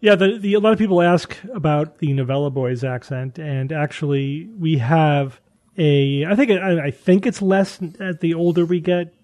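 Casting: talkative man, Connecticut accent with a New York edge, slightly high voice, dry close sound. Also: moderate pace, darkish tone, no room sound. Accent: southwestern Connecticut with New York traces. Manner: talkative, explains at length. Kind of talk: casual chat.

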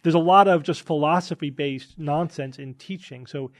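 A man's voice: on the high side, moderate pace, no room sound, clean studio sound. A man in casual group discussion, explaining points emphatically. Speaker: podcast host.

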